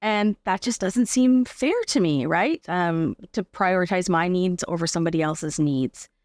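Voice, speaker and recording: A woman's voice: on the low side, a little slow, no room sound, clean studio sound. Canadian woman, casual thoughtful interview guest. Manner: informal, thoughtful, explains through examples and rhetorical questions. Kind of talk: casual, reflective interview talk.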